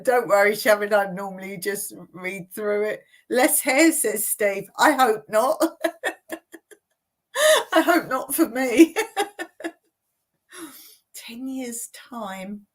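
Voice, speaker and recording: A woman in her late fifties hosting a casual live Q&A, reading viewer comments aloud and chatting about them with frequent laughs. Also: medium pitch. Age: 50s. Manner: chatty, laughs often between remarks. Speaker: channel host. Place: home in rural Cyprus.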